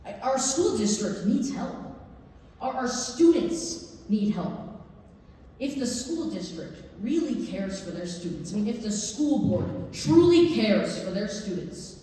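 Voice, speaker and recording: A woman performing a staged reading as an earnest, urgent activist addressing a school board, speaking emphatically with deliberate pauses. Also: medium pitch, sing-song, dark tone. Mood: urgent, indignant. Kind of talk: staged reading of a public comment speech. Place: library district theatre stage. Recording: echoey hall, distant mic, faint noise.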